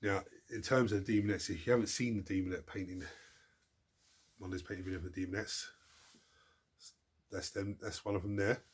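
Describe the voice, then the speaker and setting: A man's voice: low voice, steady tone, brisk, long pauses, breathy edge. A man hosting a hobby channel, chatting casually through viewer questions, stuffed up from a cold and rambling as he restarts his sentences. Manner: rambling, self-interrupting, restarts words. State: congested from a cold. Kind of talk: casual chat.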